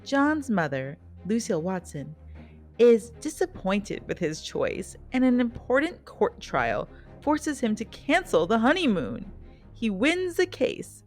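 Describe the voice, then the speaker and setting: A woman reading scripted documentary narration as the host of the programme. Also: medium pitch. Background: soft background music.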